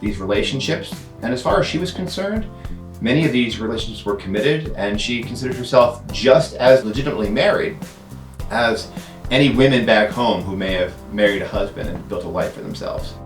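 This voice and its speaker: A man's voice: medium pitch, expressive, a little fast, reverberant, soft background music. A man presenting to camera as a playful, self-aware museum education host.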